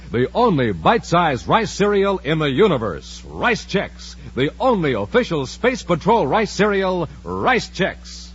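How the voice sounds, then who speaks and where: A man announcing in a 1950s radio style, emphatic and theatrical, like a serial narrator and pitchman. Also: fairly bright tone, breathy edge. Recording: noisy, muffled old radio recording.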